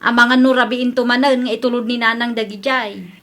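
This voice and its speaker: A woman plays an eager, talkative housemaid and wife in a comedy audio drama. Her voice sits high and rings brightly, with an Ilocano accent. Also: steady tone, a little fast. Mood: matter-of-fact, nagging.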